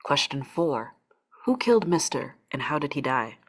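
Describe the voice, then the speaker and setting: A woman playing a stoic, politely chipper corporate orientation facilitator in a script table read, brisk, procedural and artificially courteous. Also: low voice, sing-song, exaggerated voice. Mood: brisk, officious.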